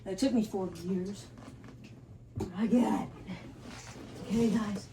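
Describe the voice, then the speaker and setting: A woman's voice: mid-range voice, fairly even intonation, slow pace. A woman hosting a casual, unscripted unboxing video, muttering to herself and thinking aloud as she goes. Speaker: host of gadget unboxing video. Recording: noisy recording with clicks.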